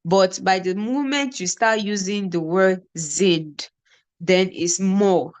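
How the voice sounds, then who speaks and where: A woman teacher from Nigeria with a Nigerian accent, explaining beginner German phrases and repeating example words slowly one at a time. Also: medium pitch. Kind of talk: slow classroom explanation.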